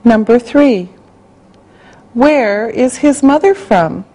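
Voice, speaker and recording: A woman reading a language-class listening exercise, spelling out words and dictating sentences slowly and carefully. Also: a little high, expressive, dark tone, rough recording. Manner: slow, carefully spelled out, evenly paced. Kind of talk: slow scripted dictation.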